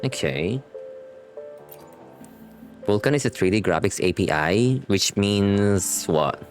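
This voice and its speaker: Animated, low-pitched man reacting to a game. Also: takes it slowly, faint music behind. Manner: informal slangy asides. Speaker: streamer reacting to a game story. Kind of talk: casual live gameplay reaction, reading on-screen text aloud.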